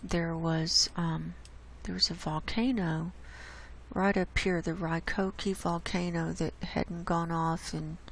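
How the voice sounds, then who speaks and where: A hoarse woman hosting her own channel, walking casually through earthquake maps on screen and pointing out each spot with an unhurried, gravelly delivery. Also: fairly low pitch, steady tone, slight background noise. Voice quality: gravelly, coughs and clears throat. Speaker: online science channel host. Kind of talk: casual screen-share data report. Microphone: desk mic.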